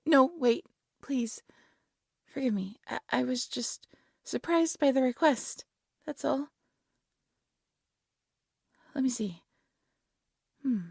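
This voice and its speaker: A woman playing a devoted, lovesick exiled alchemist in an intimate fantasy audio roleplay, tender and soft-spoken, often dropping into a whisper. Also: high voice, slow, creaky, pauses often, husky, no room sound, studio quality, full character voice. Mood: apologetic, devoted. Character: devoted, lovesick exiled alchemist practising dark magic, gentle.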